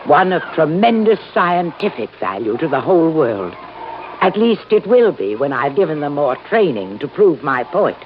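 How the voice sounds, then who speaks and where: A woman's voice: sits low, sing-song, moderate pace. Imperious old lady professor, swooping voice. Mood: proud, insistent. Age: older. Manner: brusque, commanding, theatrical. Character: imperious, eccentric elderly woman professor devoted to her dolphins. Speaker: scientist, professor. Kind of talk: old time radio drama acting. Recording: dull, noisy old radio recording.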